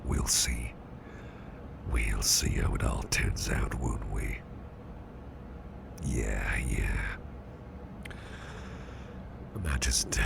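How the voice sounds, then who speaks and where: A man playing a gentle, protective boss in an ASMR roleplay, speaking in a soft, breathy, soothing near-whisper with an unhurried manner. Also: a bass voice, noisy background, full character voice. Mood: playful, soft. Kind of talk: ASMR roleplay, close personal attention.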